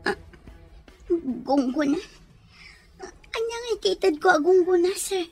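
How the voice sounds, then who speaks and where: Actress as scared young maid held captive; very high, meek, pleading radio drama voice. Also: female voice, lively intonation, unhurried, faint music behind, full character voice. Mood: frightened, meek. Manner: pleading, deferential. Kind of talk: Ilocano radio drama acting.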